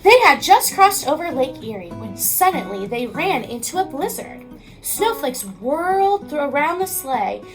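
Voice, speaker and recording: An American woman, a teacher, reading a children's storybook aloud with expressive narration. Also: sits high, unhurried, soft background music, poor audio.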